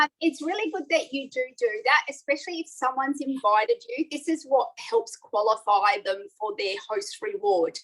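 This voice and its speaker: A woman's voice: on the high side, low-quality recording. A middle-aged woman, chatting her way through a live online cooking demo with frequent ums. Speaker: cooking class host.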